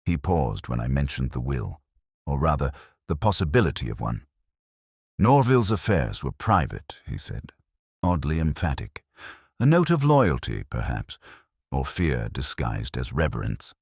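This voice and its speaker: A man with a British accent narrating a detective story in the first person, measured, brooding and wry. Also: a bass voice, breathy edge, no echo, studio quality. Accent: British English.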